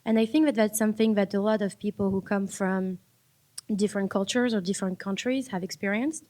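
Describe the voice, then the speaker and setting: A woman's voice: medium pitch, steady tone, fairly quick, darkish tone. A woman from France, speaking reflectively on a public panel and often repeating words as she looks for the next one. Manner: reflective, repeats words while finding them. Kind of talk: panel discussion.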